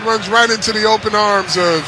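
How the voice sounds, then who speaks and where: High-voiced American football analyst, blunt. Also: male voice, steady tone, a little fast. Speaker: color analyst on radio broadcast. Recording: noisy stadium booth. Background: stadium noise.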